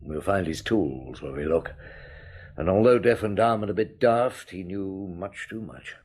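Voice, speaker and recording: An actor playing a shrewd, weary police chief inspector in a radio drama, measured and authoritative. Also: male voice, low voice, a little mellow, husky. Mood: grim.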